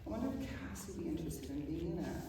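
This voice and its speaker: A woman's voice: low voice, animated, fast, warm tone. An Australian woman, a film director, speaking candidly and swearing casually in a live stage Q&A. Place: cinema stage before an audience. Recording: very echoey venue, distant mic, noise.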